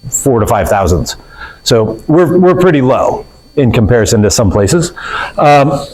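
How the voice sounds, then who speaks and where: Man, physics teacher, relaxed classroom lecture, middling pitch, loose explanatory delivery. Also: poor audio. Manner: casual, explanatory, with fillers and self-corrections. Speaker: physics instructor.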